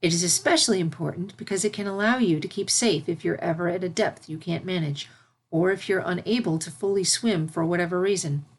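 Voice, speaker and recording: A woman voicing a gentle, regal pony princess in a story reading, speaking as a patient and reassuring mentor. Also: lowish voice, brisk, breathy edge. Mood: patient, instructive. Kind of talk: animated fan fiction character reading.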